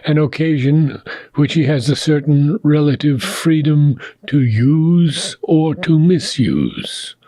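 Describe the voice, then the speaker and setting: A man with a husky voice gives a slow, solemn audiobook reading. He phrases deliberately and pauses often, in a close, dry recording. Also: a little high, mellow, no echo. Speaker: reader of a spiritual philosophy book.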